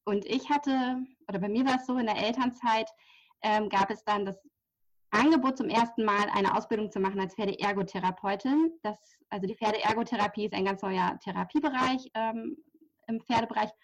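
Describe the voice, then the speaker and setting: A woman's voice: mid-range voice, fairly quick. A woman in her thirties from near Hamburg, speaking as a podcast guest in a chatty way, with many fillers and light laughs. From near Hamburg, Germany. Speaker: podcast guest, journalist and horse therapist. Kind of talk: casual interview talk. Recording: slightly dull lo-fi audio.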